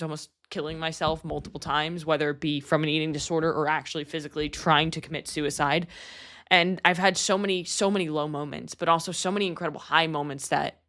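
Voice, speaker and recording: A woman's voice: low-pitched, a bit bright. Earnest, candid woman of about thirty. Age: 30s. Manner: earnest and candid, with casual swearing. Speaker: podcast host. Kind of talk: personal podcast monologue.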